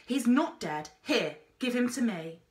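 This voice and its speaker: A woman voicing a gruff, commanding explorer man in a storybook, terse and authoritative. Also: on the high side, expressive, unhurried, darkish tone, pitched above the performer's own voice. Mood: brisk.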